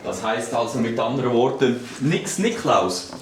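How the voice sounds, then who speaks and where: A man's voice: medium pitch, lively intonation, normal speed, close to an everyday voice. A man with a Swiss German accent playing an easygoing, joking police colleague in a children's radio play, talking casually. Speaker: police officer. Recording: roomy, distant, noisy recording.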